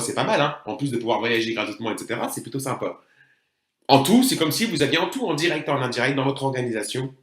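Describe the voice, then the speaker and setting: A man's voice: mid-range voice, fairly bright tone. A man with a French accent presenting a network marketing plan in an emphatic, salesy way, often putting rhetorical questions to his listeners. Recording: roomy, echoing voice recording.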